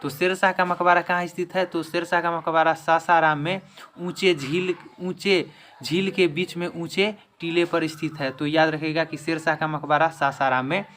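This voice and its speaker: A man's voice: fairly high pitch, level tone, moderate pace, a bit bright, a little hiss or hum. A man giving a quiz-style history lesson as an exam-prep teacher, restating each question and answer.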